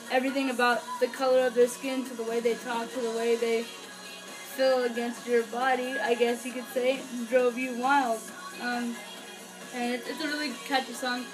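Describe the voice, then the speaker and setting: High-pitched young woman, earnest fan vlogging. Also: low-quality recording. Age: young adult. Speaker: vlogger, fan album reviewer. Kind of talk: casual unscripted review to camera. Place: small furnished bedroom, indoors. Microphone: camera or webcam a few feet away.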